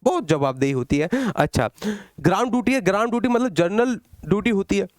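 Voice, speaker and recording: A man teaches exam candidates in a distinctly high-pitched voice. He addresses students directly and conversationally, driving points home through questions and repetition. The sound is dry, with very little room echo. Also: animated, quick pace. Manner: asks questions and repeats the answers for emphasis. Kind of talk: exam-update lecture to students.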